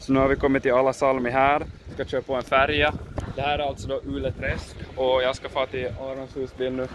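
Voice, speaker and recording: A man's voice: mid-range voice, flat delivery. A young man with a Finland Swedish accent, chatting casually for a vlog outdoors into a handheld camera. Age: young adult. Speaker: vlogger. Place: outdoors by lakes and in forest. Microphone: handheld camera microphone. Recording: windy outdoor action-camera sound, loud noise.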